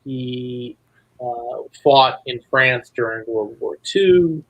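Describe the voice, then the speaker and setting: A man's voice: medium pitch, takes it slowly, a bit bright, highs cut off. A man speaking as a writer guest in a casual interview, telling things conversationally.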